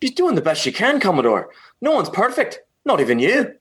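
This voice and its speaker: A man's voice: sits high, huge pitch swings, a little fast, a bit bright, heavily acted. A man playing a loyal, defiant space-station chief in a comedy sci-fi radio play, blunt and protective.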